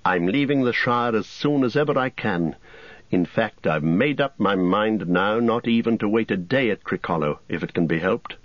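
A man's voice: lowish voice, expressive, normal speed, no echo, muffled recording, natural voice. A man voicing a weary, guarded male hobbit who carries a heavy burden, in a storybook reading. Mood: grave. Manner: guarded, terse.

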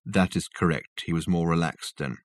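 A man voicing an ancient male alien ghost in an audiobook, grave and formal, with measured, solemn speech. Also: sits low, no echo, clean studio sound, close to an everyday voice. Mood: grave, confessional.

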